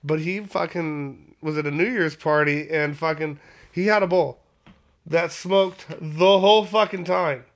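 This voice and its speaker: 30s dude, kinda high voice, rambly podcast cohost, cusses every other word. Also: male voice, a little slow, a bit bright. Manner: rambling, heavy swearing, laughing a lot. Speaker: podcast co-host. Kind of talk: casual stoned chat.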